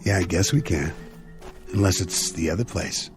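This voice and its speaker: A man's voice: low voice, normal speed, over music, natural voice. A man playing a dutiful, questioning crewman of a stranded spaceship in a radio drama, speaking earnestly and with deference. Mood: uneasy, wry.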